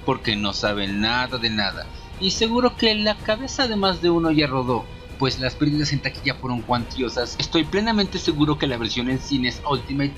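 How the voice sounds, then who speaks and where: A man's voice: medium pitch, sing-song, moderate pace, hardly any pauses, a bit bright, soft background music. A Mexican man giving an informal scripted film review in a sarcastic, slangy, opinionated way. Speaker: video reviewer.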